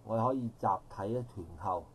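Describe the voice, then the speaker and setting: A man's voice: fairly low pitch, fairly quick, a little hiss or hum, rough recording. A middle-aged man with a Hong Kong Cantonese accent giving a long, rambling public comment at an outdoor park forum, with formal phrasing and frequent self-corrections. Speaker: audience member speaking at a public forum.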